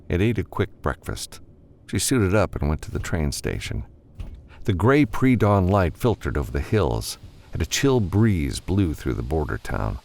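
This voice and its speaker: A man reads fiction as an audiobook narrator. His voice is deep, with a creaky, gravelly edge. The storytelling is expressive, with animated rises and falls in pitch.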